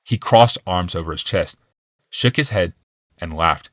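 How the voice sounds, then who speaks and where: A man's voice: deep voice, unhurried, dry recording, clean studio sound. A man narrating fiction as a scripted, dramatic audiobook.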